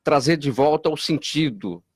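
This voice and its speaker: A man's voice: fairly high pitch, normal speed, darkish tone, breathy edge, dry recording. A man hosting a talk show, asking long, winding questions with fillers. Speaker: show host. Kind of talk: interview questions.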